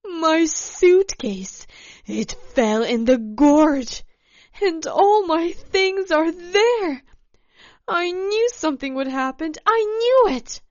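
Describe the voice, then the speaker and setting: A woman storyteller voicing a furious, irritable, demanding woman who snaps and wails loudly. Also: medium pitch, expressive, drawn-out words, long pauses, no room sound, lo-fi sound. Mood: wailing, panicked. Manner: loud, snapping, wailing outbursts. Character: furious, shrill, foul-mouthed woman hiker, irritable and demanding.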